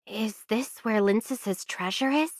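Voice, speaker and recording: A woman voicing a calm, curious young adventurer in an anime video game, speaking in a measured, sincere way. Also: on the high side, no room sound, clean studio sound.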